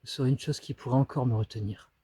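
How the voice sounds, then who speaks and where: A man acting an anguished, bitter and dreamy teenage schoolboy in a declamatory theatrical monologue, swinging between outbursts and hushed musing. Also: medium pitch, steady tone, fast, slight background noise, natural voice. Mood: dreamy, melancholy.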